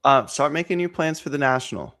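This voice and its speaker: A man in his twenties, excitable and energetic, chatting casually about card breaks with lots of fillers. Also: on the high side, quick pace. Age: 20s. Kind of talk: casual chat on a stream.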